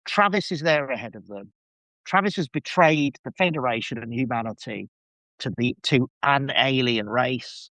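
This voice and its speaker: A man's voice: mid-range voice, normal speed, sharp tone, no echo, low-quality recording. A man chatting casually as a podcast guest, giving an enthusiastic fan review full of rambling asides.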